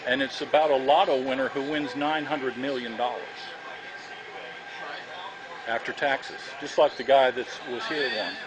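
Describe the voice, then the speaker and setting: A man's voice: medium pitch, fairly even intonation, a little slow, long pauses, rough recording. A man talking casually, as an author describing his own novels. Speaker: author promoting his books. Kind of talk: casual talk.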